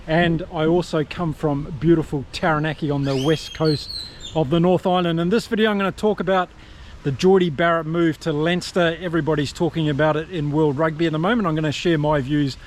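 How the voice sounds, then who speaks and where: A man's voice: fairly high pitch, fairly even intonation, brisk, a little hiss or hum. A man with a New Zealand accent giving casual, upbeat rugby commentary straight to his viewers. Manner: chatty, upbeat, addresses viewers directly. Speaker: rugby vlogger. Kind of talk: casual solo commentary to camera.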